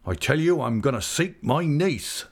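A grieving old fisherman insists in a raised, gravelly voice, speaking in rustic dialect, played by a man reading the part. He sounds stubborn and distraught. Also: fairly high pitch, very animated, a little slow, voice pushed up, heavily acted. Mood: vehement.

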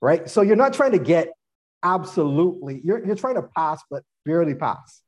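A man's voice: on the high side, animated, normal speed, a little mellow. A man being interviewed on a podcast, telling earnest stories about his upbringing and laughing now and then. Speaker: guest, former athlete and attorney.

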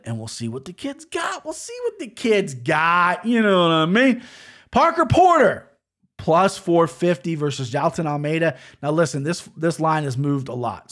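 A man's voice: fairly high pitch, fairly bright tone. A man breaking down fight betting lines in a casual way. He is brash and profane, and he rambles. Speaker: sports betting picks host.

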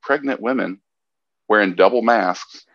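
Deep-voiced man, halting and earnest. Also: a bit bright, dry recording, highs cut off. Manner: halting, restarts often, earnest. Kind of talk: casual chat.

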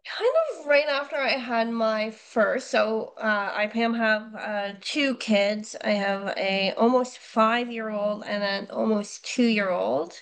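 Nasal woman, slow and high. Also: creaky, clean tone. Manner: hesitant, many fillers and restarts. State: getting over a cold, nasal. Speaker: lactation consultant guest. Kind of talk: casual podcast conversation.

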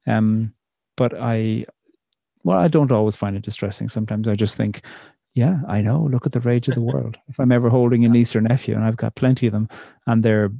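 Poet, middle-aged male, dark gravelly voice, slow reflective delivery. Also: mid-range voice, dry recording, studio quality. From Ireland. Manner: thoughtful, measured, many pauses and fillers. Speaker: poet, interview guest. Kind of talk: reflective conversational interview.

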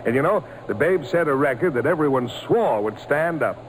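Animated folksy father storyteller, noisy recording male actor. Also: a little high, fairly quick, close to an everyday voice. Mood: nostalgic, delighted. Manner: folksy, relishing. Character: folksy, enthusiastic father recounting baseball history to son. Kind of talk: old-time radio storytelling narration. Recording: noisy old radio recording.

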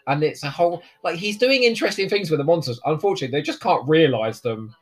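A man hosting a casual chat, commenting while they watch an episode, joking with quick asides. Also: on the high side, lively intonation, husky. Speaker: podcast host.

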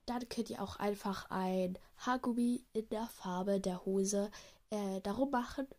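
A woman's voice: medium pitch, measured pace, darkish tone. A young woman with a cold, chatting casually and rambling with many fillers and self-corrections. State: has a cold. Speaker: podcaster. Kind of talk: casual chat. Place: outdoors in cold wind.

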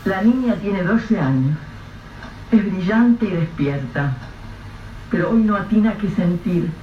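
A man narrating a radio drama in a measured, grave storytelling voice. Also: high voice, animated. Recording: echoey, distant, dull lo-fi with faint hiss.

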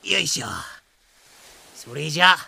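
A man playing an easygoing, roguish pirate captain with a gravelly, drawling voice in an amateur audio drama. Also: a little high, lively intonation, a little slow, sharp tone, exaggerated voice. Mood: wry, relaxed. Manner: drawling, casual, rough-spoken.

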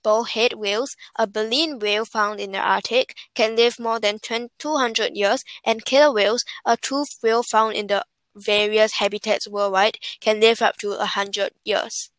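A woman co-hosting a podcast, reading facts aloud in a halting way and tripping over words. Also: medium pitch, steady tone, a bit bright, no echo. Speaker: co-host.

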